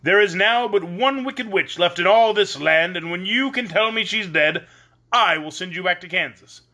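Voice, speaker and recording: Man voicing stern giant wizard head, raised pitch. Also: sharp tone, exaggerated voice. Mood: stern, demanding. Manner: commanding, emphatic, drawn-out words. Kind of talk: storybook character reading.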